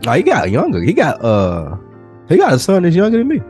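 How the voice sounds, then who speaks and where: A man in his thirties co-hosting a podcast, telling stories in a casual, animated way with lots of casual swearing. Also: a little high, brisk, soft background music. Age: 30s. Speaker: podcast co-host. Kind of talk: casual chat, storytelling.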